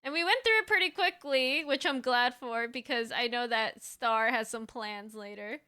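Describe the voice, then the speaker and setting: A woman's voice: fairly high pitch, normal speed, bright, forceful tone, clear, resonant tone. A woman hosting a casual livestream chat, friendly and upbeat, with a voice that is slightly tired. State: voice slightly raspy from tiring. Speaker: streamer host, VTuber.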